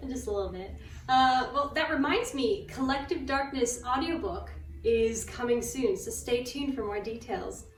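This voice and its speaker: A woman co-hosting with casual, playful banter and quick reactions. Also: fairly high pitch. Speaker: co-host. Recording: echoey room, distant mic, faint noise.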